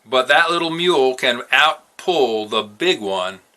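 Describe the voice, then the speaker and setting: A man's voice: medium pitch, animated, unhurried, sharp tone. A man voicing a folksy, plainspoken farmer father in a storybook reading. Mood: wry. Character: folksy, eager farmer father in rural tale.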